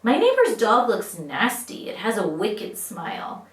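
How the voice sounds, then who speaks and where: A woman teacher reading poems aloud to children, with expressive, clearly phrased delivery that addresses young listeners directly. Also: medium pitch, measured pace. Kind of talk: read-aloud of children's poetry. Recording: roomy echo, distant microphone.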